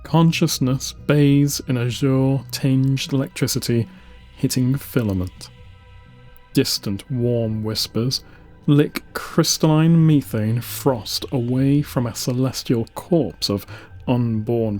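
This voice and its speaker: A man giving a solemn, deliberate audiobook narration of science-fiction lore, with dramatic pauses between short phrases. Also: mid-range voice, darkish tone. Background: music.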